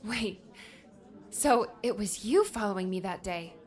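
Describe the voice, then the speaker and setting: A woman's voice: medium pitch, expressive, measured pace, natural voice. A woman voicing an earnest, hesitant young adventurer in conversational audiobook dialogue. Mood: questioning.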